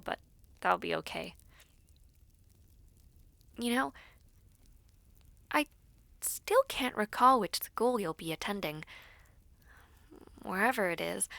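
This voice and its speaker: A woman playing a devoted young female knight in an audio roleplay, reading letters in a tender, wistful, sometimes breathy manner. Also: medium pitch, fairly bright tone, no room sound.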